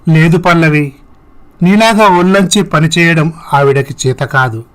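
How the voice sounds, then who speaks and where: A man voicing a gentle, grateful husband and father in a scripted story, with a sincere manner. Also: on the high side, measured pace. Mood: appreciative, sober.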